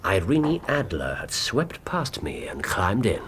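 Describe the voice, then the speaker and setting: A man's voice: sits low, huge pitch swings, takes it slowly, a bit bright, full character voice. An actor playing a brilliant, self-assured British detective who tells his findings precisely and theatrically in a radio drama. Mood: brisk, relishing. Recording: faint background ambience noise.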